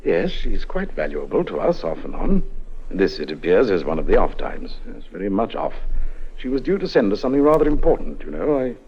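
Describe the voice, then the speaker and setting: Casting: urbane British spy chief, husky and lowish, clipped understatement, vintage radio drama. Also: male voice, big pitch swings, brisk, muffled recording, heavily acted. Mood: dry, insinuating. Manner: clipped, understated, genteel upper-class phrasing. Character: suave, breezy male British intelligence captain recruiting an agent. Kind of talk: 1950s radio drama acting.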